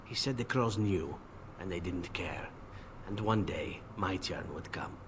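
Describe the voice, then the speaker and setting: A man playing a weary, guilt-ridden elven assassin in a video game, speaking in a measured, solemn, confessional way. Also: low voice, background noise. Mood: regretful. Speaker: game companion character. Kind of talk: dramatic video game voice acting.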